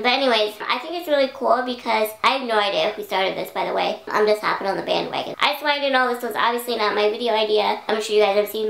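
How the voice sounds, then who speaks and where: Young female vlogger, slightly raised pitch, chatty and rambling, a little hoarse, roomy echo. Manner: chatty, rambling, many "like" fillers. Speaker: YouTube vlogger. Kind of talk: casual talk to camera.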